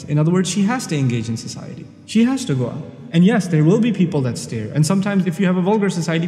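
A man's voice: fairly high pitch, warm tone. A man giving an earnest religious talk, explaining scripture and reciting Arabic verses. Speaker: Islamic lecturer. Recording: roomy hall with long reverb.

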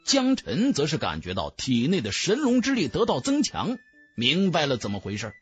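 Very animated male audiobook narrator. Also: a little high, normal speed, highs cut off, soft background music. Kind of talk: dramatic scripted fantasy narration.

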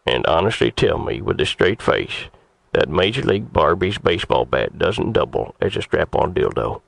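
A man's voice: low voice, big pitch swings, normal speed, creaky, dry recording, full character voice. A man voicing an indignant older crank customer on a comedy prank call, stammering and then ranting crudely. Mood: outraged. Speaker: prank caller on a radio show.

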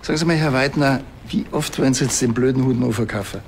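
A man's voice: medium pitch, fast talker, slight background noise, close to an everyday voice. An actor playing a dry, casual small-town policeman with a Bavarian accent in a TV crime comedy. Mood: dry, confronting.

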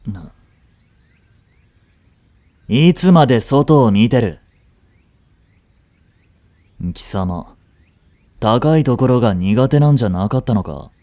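Man acting a haughty young prince, dark voice, swinging pitch. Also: no room sound. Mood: cold, commanding. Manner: imperious, curt, scolding. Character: cold, haughty young prince, commanding and secretly protective. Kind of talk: Japanese romantic audio drama acting.

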